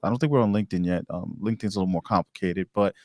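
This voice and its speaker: A man with a fairly low voice hosts a casual live podcast. He speaks conversationally and appreciatively. The sound is close and dry, free of echo. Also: racing, a little mellow. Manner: conversational, appreciative, repeats his thanks. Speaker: podcast host. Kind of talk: casual live-stream hosting.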